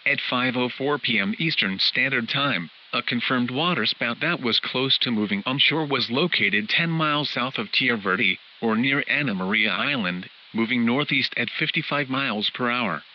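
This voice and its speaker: A man's voice: mid-range voice, moderate pace, hardly any pauses. A man's synthetic text-to-speech voice reading a scripted emergency weather bulletin in a formal, clipped way, as a radio alert announcer. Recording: hissy, muffled weather radio broadcast.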